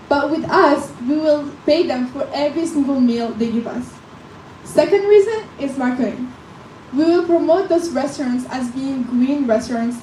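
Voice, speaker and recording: High mellow teen girl from morocco doing a pitch, listing reasons, echoey and far from the mic. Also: slight background noise. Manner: counts points off one by one, persuasive. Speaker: student presenter. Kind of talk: rehearsed business pitch. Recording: echoey room from a distance.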